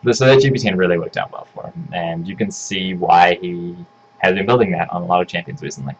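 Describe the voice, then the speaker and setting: A man with a croaky voice talking through a game analysis, rambling and correcting himself often. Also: low-pitched, quick pace, muffled recording, a little hiss or hum. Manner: rambling, self-correcting, frequent ums and "right?". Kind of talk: casual solo game analysis.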